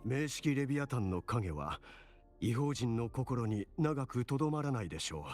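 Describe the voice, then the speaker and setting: A man's voice: medium pitch, sing-song, quick pace, a little mellow, natural voice. An actor playing a courteous, devout townsman in a Japanese video game, speaking formally and earnestly over music. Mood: calm, devout. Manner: polite, formal.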